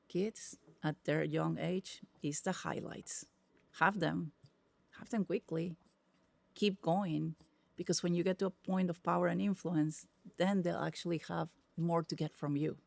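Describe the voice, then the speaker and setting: A middle-aged woman from Peru with a Spanish accent, a guest telling stories in an emphatic, animated way. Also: fairly low pitch, a little slow, creaky, dry recording. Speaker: podcast guest, tech executive. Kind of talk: casual interview conversation.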